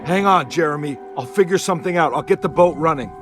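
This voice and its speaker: A man's voice: a little high, quick pace, over music, close to an everyday voice. A man playing a determined, practical investigator on a search in a video game. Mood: urgent calling. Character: determined male investigator searching for a missing person. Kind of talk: game voice acting, spoken thoughts and calls.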